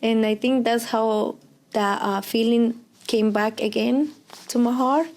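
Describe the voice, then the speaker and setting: A woman's voice: fairly high pitch, steady tone, unhurried, a little mellow. A woman in her thirties from Colombia with a Colombian Spanish accent, speaking English as a second language in a casual interview, hesitant with many fillers and laughs. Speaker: interviewee, preschool Spanish teacher.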